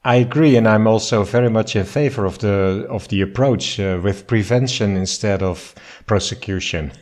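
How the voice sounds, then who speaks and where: A man's voice: lowish voice, normal speed, darkish tone. A man hosting a podcast, introducing a guest and asking questions in a formal, polite manner. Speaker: podcast host.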